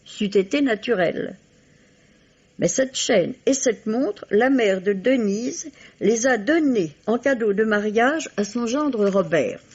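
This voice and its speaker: Husky woman reviewing books on vintage radio. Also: fairly high pitch, animated, slow, darkish tone. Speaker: book reviewer. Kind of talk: scripted literary radio review, 1950s French radio. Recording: dull vintage radio recording.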